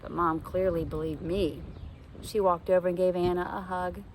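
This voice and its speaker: A woman's voice: on the low side, steady tone, normal speed. Woman reading a suspenseful story, noisy background. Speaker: teacher reading a novel aloud to students. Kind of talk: expressive storybook read-aloud.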